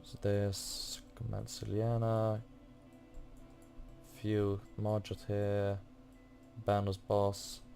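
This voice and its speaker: A man giving casual playthrough commentary as a gaming video host, halting as he searches for words. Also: lowish voice, completely flat delivery, creaky, warm tone, soft background music.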